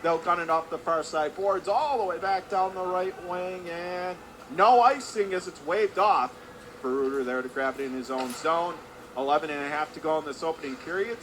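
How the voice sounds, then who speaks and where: A male radio play-by-play announcer with a fairly high voice calls live hockey. He speaks in clipped running phrases that rise with the action. Clear arena noise sits behind him. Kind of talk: live radio sports commentary. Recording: clear background noise of an ice rink.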